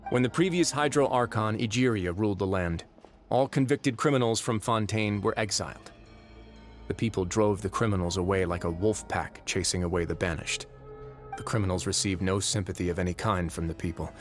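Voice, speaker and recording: An actor playing a composed, world-weary man who runs an undersea prison fortress in a video game, speaking in a measured, articulate, dry way. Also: on the low side, natural voice. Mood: calm, storytelling. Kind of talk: English video game voice acting, expository dialogue. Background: game music behind the voice.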